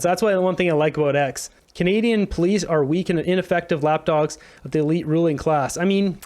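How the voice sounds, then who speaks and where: High-pitched man doing casual political commentary. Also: darkish tone. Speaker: host of a political commentary show. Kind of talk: casual news commentary, reading articles aloud.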